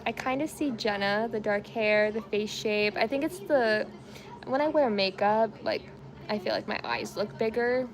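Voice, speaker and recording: Chatty woman with a gravelly voice. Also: mid-range voice, normal speed, a crowd in the background. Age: around 30. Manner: chatty, rambling, laughs easily. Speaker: crochet livestream host. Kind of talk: casual chat while crocheting, reading comments.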